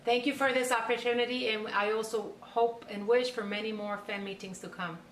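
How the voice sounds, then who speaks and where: A woman's voice: fairly high pitch, fairly even intonation, fairly quick, a bit bright. A woman from Mexico City with a Mexican accent, talking reflectively in conversation. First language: Spanish. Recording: distant, echoey recording with faint noise.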